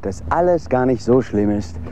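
A man's voice: mid-range voice, expressive, normal speed, close to an everyday voice. A man acting in a German radio drama as a hopeful, naive young would-be film director, earnest and friendly. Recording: clear outdoor street and traffic noise. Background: city street ambience with vehicles.

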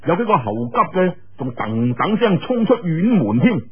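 A man with a Cantonese accent telling a martial arts story in a theatrical, colloquial, expressive way. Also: on the high side, moderate pace, slightly rough, soft background music. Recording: muffled narrow-band radio-like sound.

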